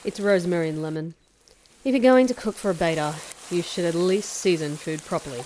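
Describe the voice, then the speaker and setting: A woman acts out a proud young female werewolf in an audio drama. She speaks fairly low, with clipped, formal disdain and a haughty temper. Some noise sits behind the voice. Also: a little fast, a little mellow, close to an everyday voice, low-quality recording. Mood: haughty, disdainful. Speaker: voice actor in an audio roleplay. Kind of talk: scripted audio drama acting.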